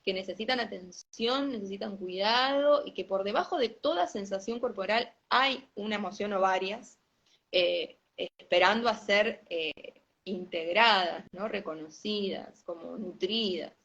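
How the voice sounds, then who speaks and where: A woman, a somatic yoga teacher and guest, speaking calmly and in a measured way with hesitant pauses. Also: mid-range voice, vocal fry. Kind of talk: reflective conversation. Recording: dull lo-fi audio.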